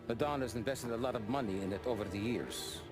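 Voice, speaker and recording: A man's voice: medium pitch, fast, over music, natural voice. An actor playing a composed, businesslike American mafia adviser briefing his men in a dramatic game scene. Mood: measured, serious. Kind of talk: dramatic video game cutscene acting.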